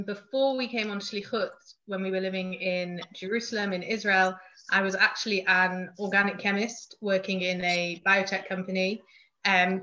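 A woman's voice: medium pitch, bright, forceful tone. A woman with a Mancunian accent, a podcast guest chatting casually with frequent fillers. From Manchester, England. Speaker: guest, youth movement emissary. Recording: dull lo-fi audio.

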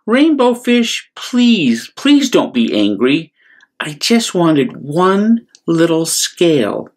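A man's voice: fairly high pitch, big pitch swings, slow, pitched above the performer's own voice, heavily acted. A man voicing a small, eager young blue fish in a storybook, polite and pleading. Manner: polite, pleading, wide-eyed.

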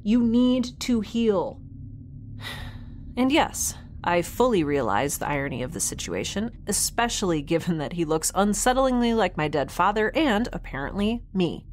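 A woman's voice: mid-range voice, very animated, normal speed, slight background noise, natural voice. An actress playing a candid young woman scientist in a sci-fi audio drama, recording a rambling, hesitant log with dry wit. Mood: weary, wry. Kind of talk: audio diary narration in scripted drama.

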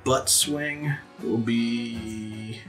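A man's voice: medium pitch, steady tone, drawn-out words, fairly bright tone, faint music behind. A man playing in a tabletop game, casually chatting and describing his character's actions. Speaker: player in a tabletop game podcast.

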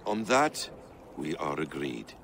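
An actor playing a shrewd, calm middle-aged assassin mentor from abroad in a game drama, knowing and deliberate. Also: male voice, a bass voice, gravelly, slight background noise, heavily acted. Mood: assured. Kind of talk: cinematic video game drama.

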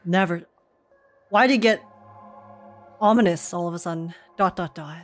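A young woman giving casual, expressive reaction commentary on a game, joking and using slang as she goes. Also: lowish voice, normal speed, soft background music. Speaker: streamer reacting to a game story.

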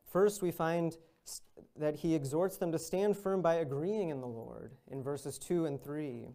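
Young man preaching, mellow earnest voice. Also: a little high. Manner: earnest, measured preaching, rising on exhortations. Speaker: visiting minister. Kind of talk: sermon. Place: church room with large windows, at a pulpit.

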